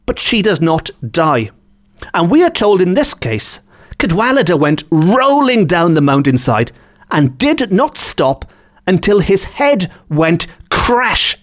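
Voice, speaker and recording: Animated male storyteller with swooping pitch. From Wales. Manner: chatty, repeats phrases for emphasis, self-correcting. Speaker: podcast host and storyteller. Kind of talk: conversational folk tale retelling with asides.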